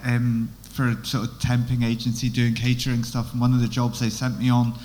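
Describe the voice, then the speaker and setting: A man's voice: medium pitch, fairly quick, a little hiss or hum. A man, not originally from Scotland, talking as a guest on a live podcast panel, hesitant with many restarts. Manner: hesitant, restarts, flat delivery. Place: live event venue.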